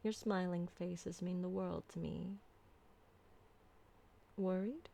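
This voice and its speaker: Woman as ancient vampire mistress for ASMR roleplay: genteel, doting, unhurried, with a creaky edge. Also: fairly low pitch, steady tone, exaggerated voice. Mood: tender, reassuring. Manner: soft-spoken, genteel, tender, formal wording. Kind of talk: intimate ASMR roleplay acting.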